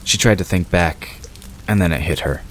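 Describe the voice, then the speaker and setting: A man with an extremely low, deep voice narrates a fantasy story from a script. Faint rain-like noise runs behind the narration. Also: normal speed.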